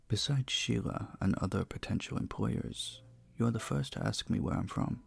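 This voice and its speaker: Charming vampire bartender for audio roleplay male actor: deep-set pitch, some fry, polite and intimate, a touch formal. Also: full character voice. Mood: wistful, guarded. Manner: courteous, soft-spoken, slightly formal, teasing. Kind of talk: audio roleplay, intimate one-sided dialogue.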